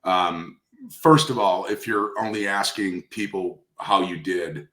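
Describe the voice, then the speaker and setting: A man's voice: low-pitched, a little slow, pushed and bright. A man speaking conversationally in an industry interview, coaching emphatically and repeating key phrases for stress. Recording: roomy, echoey sound.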